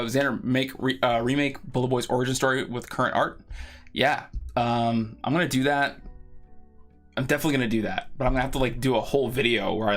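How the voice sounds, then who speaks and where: A man's voice: mid-range voice, fairly bright tone. Casual male streamer thinking aloud over music. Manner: informal, rambling, thinking aloud. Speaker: streamer and artist hosting a live drawing stream. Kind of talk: casual live stream chat. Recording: music playing behind the voice. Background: background music.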